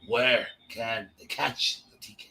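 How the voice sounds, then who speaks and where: A man hosting a podcast, hoarse from a cold, wrapping up the show with hyped shout-outs and plugs. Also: medium pitch, measured pace, very noisy. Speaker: podcast host. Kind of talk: energetic podcast sign-off.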